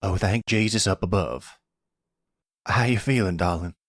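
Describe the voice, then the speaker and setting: A man voicing an older mafia father in an audio roleplay, doting and gruffly protective. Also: low voice, a little slow, no echo, clean studio sound. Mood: tender, worried. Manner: tender to daughter, gruffly threatening to others.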